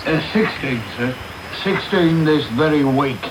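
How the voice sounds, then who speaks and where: An actor playing a self-serving middle-aged beadle, self-important yet ingratiating, in a radio drama. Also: male voice, a little high, expressive, unhurried. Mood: obsequious. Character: pompous, self-serving, obsequious male parish beadle. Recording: noisy off-air medium wave radio tape.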